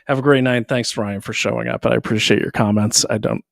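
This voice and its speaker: A man's voice: mid-range voice, steady tone, brisk, creaky, no room sound, clean studio sound. A tired male host signing off to his viewers in a rambling, conversational way. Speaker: show host. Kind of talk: casual sign-off to viewers.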